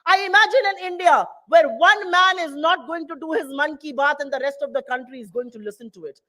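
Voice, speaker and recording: A woman's voice: high voice, a little fast, a bit bright. A middle-aged woman whose first language is Hindi, giving a passionate political speech in a town hall with rousing, repetitive rhetoric. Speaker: political speaker.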